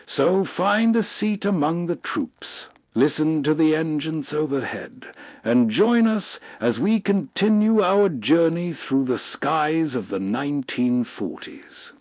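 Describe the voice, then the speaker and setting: Slightly high-voiced man, atmospheric host narration. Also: expressive, slow, a little mellow, dry recording.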